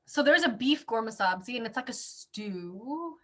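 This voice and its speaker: A woman's voice: a little high, lively intonation, normal speed, bright, forceful tone. A woman with a Canadian accent, chatting casually and informally as a co-host, with an enthusiastic manner. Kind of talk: casual chat.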